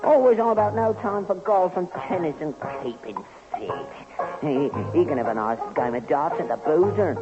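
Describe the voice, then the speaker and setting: A man's voice: fairly high pitch, animated, normal speed, over music, close to an everyday voice. An actor playing a grumbling, scornful old London Cockney father in a 1960s radio sitcom. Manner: querulous, scornful. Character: grumbling, mocking, sly old rag-and-bone man father. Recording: dull 1960s radio recording.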